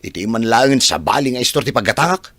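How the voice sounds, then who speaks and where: An actor with a Filipino Ilocano accent playing a hot-tempered, defensive grown son who drives for a living, combative and indignant in radio drama style. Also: male voice, mid-range voice, animated, quick pace, fairly bright tone, dry recording.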